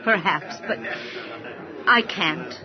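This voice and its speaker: A woman's voice: lowish voice, slow pace. An actress playing an anxious, torn mother in a biblical audio drama, hesitant, emotional and pleading. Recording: muffled recording with crowd behind.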